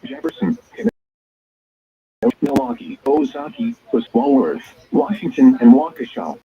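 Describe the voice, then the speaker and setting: Synthetic man's voice, weather radio alert reading, wildly swinging intonation, gravelly creak, stilted formal phrasing, radio broadcast sound. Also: on the high side, huge pitch swings, moderate pace. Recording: tinny, narrow-band weather radio signal.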